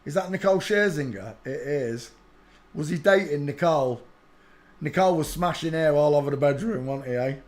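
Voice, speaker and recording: A man hosting his own channel, giving brash, scoffing commentary over video clips, with quick asides. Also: on the high side.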